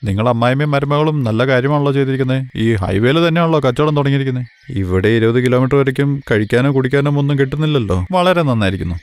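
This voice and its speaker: A man's voice: medium pitch, animated, quick pace, smooth, natural voice. A man voicing a blunt merchant customer in a cartoon moral story. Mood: approving. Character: blunt male merchant, a demanding roadside customer.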